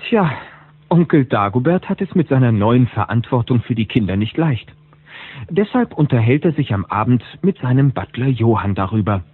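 A man's voice: mid-range voice, moderate pace, breathy edge, dry recording, phone-quality sound. A man narrating a children's audio drama as a storyteller, speaking to the listeners from a script. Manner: animated storyteller addressing listeners. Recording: band-limited old cassette with music.

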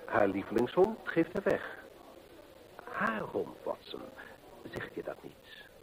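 An actor playing a keen, methodical gentleman detective in an old radio play, deliberate and rhetorical as he reasons aloud. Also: medium pitch, huge pitch swings, creaky, exaggerated voice, low-quality recording. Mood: analytical, intent.

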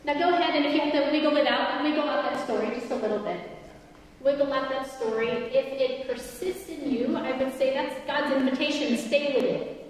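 A middle-aged woman giving a conversational, reflective sermon in a large church hall, gently inviting listeners and repeating phrases for emphasis. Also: sits high, fairly quick. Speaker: preacher. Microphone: distant camera in the audience. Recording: echoey hall from far off, some noise.

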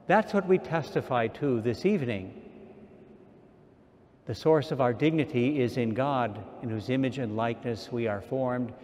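A man in his sixties, an archbishop, preaching a sermon at a pulpit mic in a large church, slow and measured with repeated phrases. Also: mid-range voice, a little mellow. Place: large church sanctuary, indoors. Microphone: stand mic at pulpit. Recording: long church reverb.

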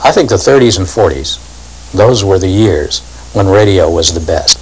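An older man, a former radio actor, giving deliberate, explanatory reminiscences as documentary commentary. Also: low-pitched, animated, slightly rough, rough recording.

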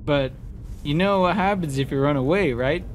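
A man's voice: a little high, normal speed. A man giving casual let's play commentary, playful and taunting his enemies as he exclaims. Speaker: gamer playing and commentating. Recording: clearly noisy background with game ambience.

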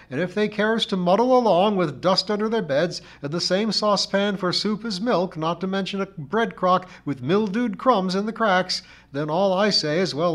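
A man's voice: high voice, fairly bright tone, pitched above the performer's own voice. A man voicing a belligerent, self-pitying village cleaning woman with a rural English accent, declaiming her grievances in a storybook reading. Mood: indignant. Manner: declamatory, rhythmic, dropped g's, indignant.

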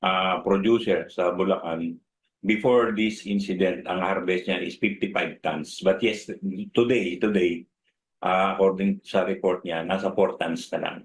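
Deep-voiced middle-aged official on a video call. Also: male voice, steady tone, rough recording. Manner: halting, mixes in English, many fillers and restarts. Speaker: regional fisheries director. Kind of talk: interview answers. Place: indoor office. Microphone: video call webcam.

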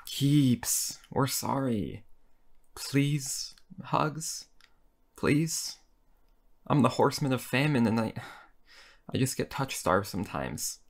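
A man playing a gentle, timid, clingy horseman of famine in a comedy roleplay, soft and hesitant, with a pleading way of talking. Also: mid-range voice, expressive, slow, pauses often. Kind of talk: boyfriend ASMR comedy roleplay.

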